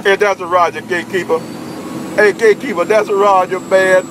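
A Southern US man bantering on CB radio in an animated, teasing way, repeating himself. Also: high-pitched, fairly quick. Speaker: CB radio operator. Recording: very noisy CB radio transmission.

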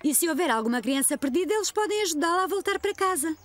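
A woman's voice: very high voice, animated, quick pace. A woman with a European Portuguese accent playing a kind, patient preschool teacher in a children's cartoon dub. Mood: patient explaining.

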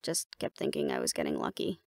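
A woman playing a battle-seasoned, fond and teasing mate in a roleplay audio drama, talking casually and intimately with a gravelly creak and hesitant pauses. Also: extremely low pitch, huge pitch swings, no room sound, heavily acted. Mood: hesitant, pensive.

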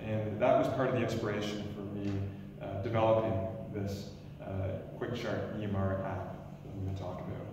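A man in his thirties, an emergency doctor, giving a loud, energetic startup pitch in a lecture hall with frequent restarts. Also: normal speed, hardly any pauses, highs cut off, noisy background. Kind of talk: pitch presentation. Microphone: stand mic, recorded from far camera. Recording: echoey lecture hall from far off.

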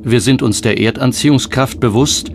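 A man reading scripted documentary narration in a measured, dramatic way. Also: mid-range voice, creaky, noisy background. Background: background music.

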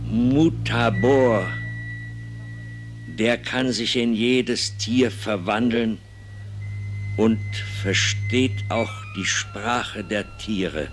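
An actor playing a humble, solemn old scholar in a puppet fairy tale, declaiming with theatrical reverence. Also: male voice, mid-range voice, fairly bright tone. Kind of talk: theatrical German puppet-play acting.

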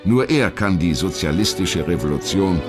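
A man reading scripted documentary narration in a measured, dramatic storytelling manner. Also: low voice, lively intonation, over music.

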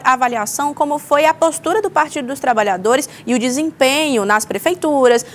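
Young female studio news reporter, high pitch, steady and explanatory. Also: a little hiss or hum. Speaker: political reporter.

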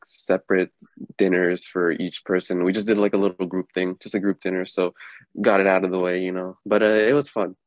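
A young adult man, an actor guest, talking in a relaxed, laid-back way over a video call. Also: fairly low pitch, dry recording. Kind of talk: casual interview chat.

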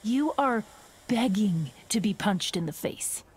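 A woman's voice: medium pitch, lively intonation, takes it slowly, a little mellow, breathy edge, exaggerated voice. An actress playing a tough, no-nonsense woman fighter in a video game, curt, sarcastic and dismissive. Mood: scornful, irritated. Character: tough, no-nonsense, scornful female fighter, adult. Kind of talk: video game character dialogue.

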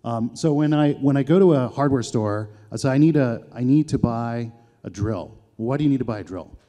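Man, panelist, dark warm tone, explaining with examples, echoing hall. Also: medium pitch, brisk. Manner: explanatory, gives simple examples. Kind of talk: conference panel discussion. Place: conference stage with audience. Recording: reverberant event hall.

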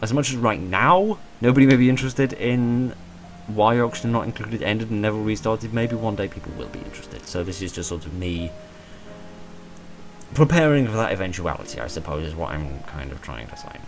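A man in his twenties with a hoarse voice, talking in a rambling, self-deprecating way about his channel and stumbling over repeated words from a small room at home. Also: lowish voice, expressive, a little fast, soft background music. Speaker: channel creator. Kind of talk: rambling personal monologue.

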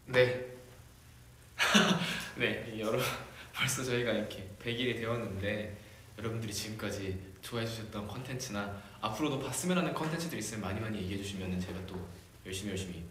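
A man's voice: on the low side, a little fast, fairly bright tone. Chatty young man in a very echoey room. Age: young adult. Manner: playful, musing aloud, laughs often, repeats words. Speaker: livestream host reading fan comments. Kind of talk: casual livestream chat. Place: decorated room, indoors, at a table. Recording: very echoey room, faint background hiss.

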